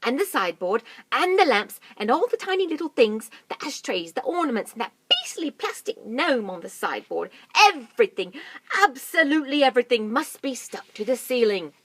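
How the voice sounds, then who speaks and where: A woman voicing an excitable, bossy male monkey father who shouts urgent orders in a shrill voice. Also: slow pace, no echo, heavily acted.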